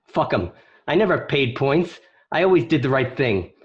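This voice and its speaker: A man's voice: medium pitch, very animated, moderate pace, lightly acted. A man playing a stubborn, indignant wiseguy bookie in a radio drama, griping and combative. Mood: defiant. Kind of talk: amateur radio drama acting. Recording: dull lo-fi home recording.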